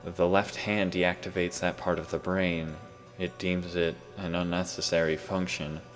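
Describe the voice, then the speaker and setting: A man voicing a calm, condescending suited scientist who speaks gently. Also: low voice, unhurried. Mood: smug calm. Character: calm, smug male scientist in a suit. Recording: reverberant room with faint music.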